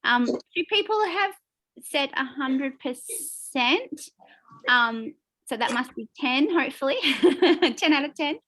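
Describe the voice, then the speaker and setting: A woman co-hosting an online class, upbeat and quick to laugh as she reads out poll results. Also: sits high, lively intonation, bright, forceful tone, rough recording. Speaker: co-host.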